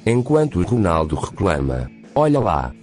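A man voicing a deep, curt poetry robot. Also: very animated, normal speed, darkish tone, soft background music. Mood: reciting. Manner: curt, humorless, literal replies. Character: stiff literal-minded male poetry robot. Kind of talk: radio comedy sketch.

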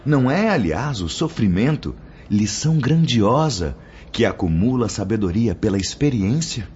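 A man's voice: fairly low pitch, expressive, moderate pace, darkish tone, a little hiss or hum, lightly acted. A man acting a stern spirit mentor in a radio drama, admonishing with solemn, oratorical declamation and rhetorical questions. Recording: dull lo-fi audio with music behind. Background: background music.

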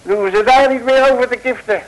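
High-pitched, blustering comic detective. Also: male voice, fast, rough recording. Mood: gleeful. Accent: Dutch from the Netherlands. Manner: scolding, blustering, colourful mock curses. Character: excitable, bumbling, grumpy male detective. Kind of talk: 1950s-60s comic radio serial acting. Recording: vintage mono radio recording.

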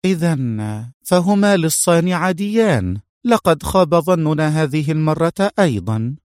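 Man acting a high, hesitant young woman agent. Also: expressive, measured pace, darkish tone, clean tone, no echo, studio quality. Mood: hesitant, disappointed. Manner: raised pitch, questioning, tentative. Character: young female agent, hesitant, anxious, easily piqued. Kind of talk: dramatized audiobook heroine.

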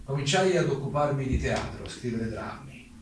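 An actor playing an exiled German playwright giving testimony about his life in a measured, declamatory theatrical monologue. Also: mid-range voice, lively intonation, lightly acted. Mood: solemn, measured. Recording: very echoey, distant mic, faint noise.

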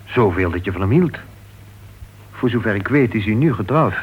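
An actor playing an earnest, lovelorn club board member in a Dutch radio play, asking insistent, probing questions. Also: male voice, fairly low pitch, animated, normal speed, slightly rough. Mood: probing, concerned. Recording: dull, muffled old radio recording.

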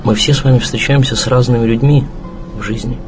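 A man's voice: medium pitch, fairly even intonation, brisk. A man giving a reflective, philosophical address with emphatic phrasing. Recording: clear music behind the voice. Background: background music.

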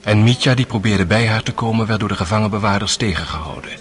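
Man acting a low-voiced, reflective storyteller. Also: close to an everyday voice. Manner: measured, storytelling. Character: reflective male narrator recounting a trial. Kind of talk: scripted radio drama narration.

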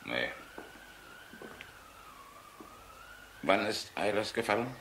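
An actor playing a weary, middle-aged air force general in a radio play, speaking in a measured, blunt but courteous way. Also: male voice, fairly low pitch, sing-song, a bit bright, a little hiss or hum. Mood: weary, brooding.

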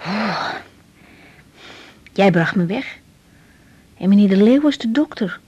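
An actress playing a troubled young woman doctor in a naturalistic 1980s radio drama, hesitant and halting. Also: mid-range voice, lively intonation, a little mellow, husky, muffled recording. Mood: uneasy, confiding. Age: young adult. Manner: hesitant, halting, repeating words.

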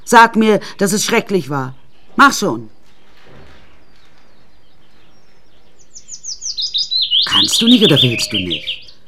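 A woman's voice: deep voice, big pitch swings, measured pace, pushed and bright, husky, heavily acted. An actress playing a vain, deluded middle-aged woman artist in an asylum, delivering a theatrical, self-important radio play monologue. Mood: taunting, needling.

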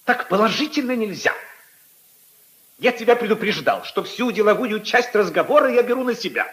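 An actor playing a hot-tempered, bossy aristocratic brother-in-law in a 1950s radio drama, scolding emphatically. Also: male voice, sits high, lively intonation, moderate pace, fairly bright tone. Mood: indignant.

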